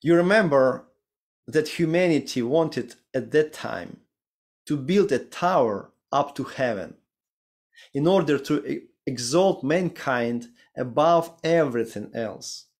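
A man in his thirties with a Ukrainian accent, preaching a sermon and reading scripture slowly and deliberately over a video call from a home study. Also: a little high, expressive. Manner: slow, deliberate reading with careful pauses. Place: home study with bookshelves, indoors. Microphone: video call webcam.

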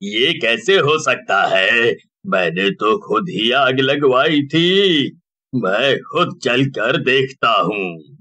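A man voicing a stern, resolute elderly village headman in a cartoon horror story, speaking deliberately and emphatically. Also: medium pitch, lively intonation, pushed and bright, exaggerated voice. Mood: astonished.

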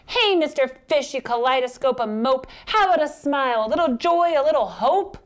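Woman as a pushy, high-pitched storybook squid. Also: expressive, normal speed. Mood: exasperated. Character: squirmy, impolite female squid, scolding.

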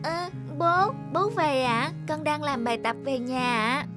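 A very high-pitched cartoon schoolboy. He sounds childlike, innocent and earnest, a polite and obedient son, with music clearly behind him. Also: lively intonation, measured pace. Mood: startled, polite. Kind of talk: cartoon dubbing.

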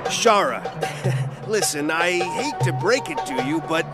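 A man's voice: a little high, lively intonation, slow. An actor voicing a boastful male sailor adventurer and sly dealmaker, with a wheedling manner, in a video game cutscene. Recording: loud game music behind.

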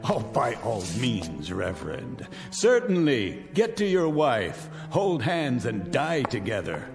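A sneering, theatrical tormentor. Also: male voice, medium pitch, wildly swooping pitch, slow, creaky, in a large hall, over music, full character voice. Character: scornful wealthy man in a bunker, taunting captives. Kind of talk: radio drama acting.

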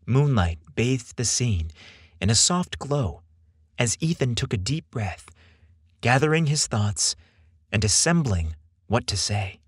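A man narrates fiction in a theatrical, deliberate way. His delivery is slow and very animated in pitch, with a husky edge, recorded dry and close. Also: no room sound. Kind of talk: scripted dramatic story narration.

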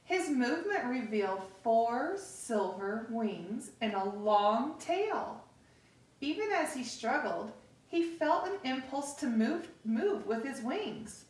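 A middle-aged woman reading a picture book aloud to children, with deliberate, expressive pauses, recorded across a living room. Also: fairly high pitch. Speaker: story time host reading to children. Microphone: camera across the room. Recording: echoey room, distant microphone.

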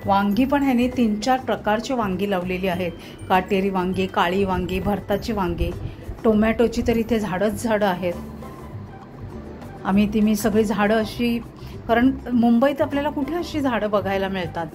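Woman vlogger, casual tour narration, mid pitch, music bed clearly under her voice. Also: normal speed. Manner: enthusiastic, points things out to viewers. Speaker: travel vlogger. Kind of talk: casual narration touring a farm.

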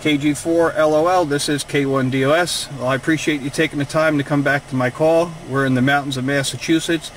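A man's voice: a little high, fairly quick, hardly any pauses. A man hosting an instructional radio gadget demonstration, speaking from inside a vehicle. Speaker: radio hobbyist video host. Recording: steady vehicle and fan noise.

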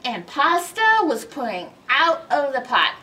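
A middle-aged woman reading a storybook aloud to children with expressive, dramatic delivery. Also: high-pitched, a little slow, recorded from a distance. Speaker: children's librarian, story time reader. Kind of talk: animated storybook read-aloud. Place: indoors in a library by bookshelves.

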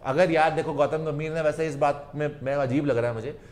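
A man co-hosting a casual cricket talk show in a studio, teasing and animated. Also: a little high, fairly quick.

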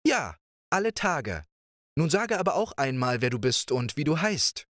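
A man voicing a cheerful, carefree young princess with a playful, lively way of speaking. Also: on the high side, moderate pace, dry recording, studio quality, pitched above the performer's own voice. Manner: bright, playful, lively questioning.

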